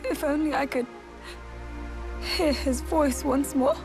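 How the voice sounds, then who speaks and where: An actress playing a gentle, resolute and wistful young noblewoman in a dramatic video game. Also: sits high, takes it slowly, music in the background. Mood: wistful, longing.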